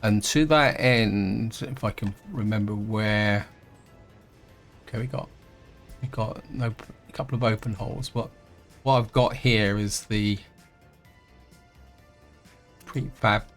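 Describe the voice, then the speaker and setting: Croaky guy rambling through his strategy game, lowish voice, faint music behind. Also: slow pace, long pauses. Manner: rambling, thinks aloud with many fillers and restarts. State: croaky from a throat infection. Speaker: gameplay host. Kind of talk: casual let's-play commentary.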